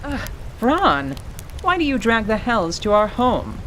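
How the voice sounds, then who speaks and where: A man's voice: high-pitched, slow, slight background noise, full character voice. A man playing a commanding, sardonic tiefling adventurer in a fantasy audio drama, with wry, florid, formal speech. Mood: disgusted. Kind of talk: fantasy radio drama acting. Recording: steady rain and stream ambience behind.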